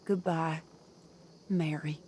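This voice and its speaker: A heartsick, gentle woman, reading slowly in a soft, mellow tone. Her delivery is wistful and tender, a dramatic game voice-over with faint ambience. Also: low-pitched, fairly even intonation, a little hiss or hum. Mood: sad, tender.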